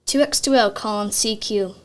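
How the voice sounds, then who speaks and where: A woman's voice: mid-range voice, normal speed, lightly acted. A young woman acting a lonely, anxious radio operator calling into silence in a radio drama. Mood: searching, forlorn.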